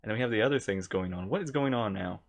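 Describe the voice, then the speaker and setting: A woman's voice: extremely low pitch, fast. A woman streamer casually reacting to a video game, chattily talking back to the characters.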